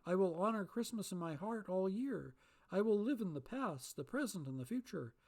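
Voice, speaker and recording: A reader voicing a remorseful old miser who turns giddy, pleading and exclaiming at a lifted pitch. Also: expressive, moderate pace, darkish tone. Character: old miser, repentant and overjoyed.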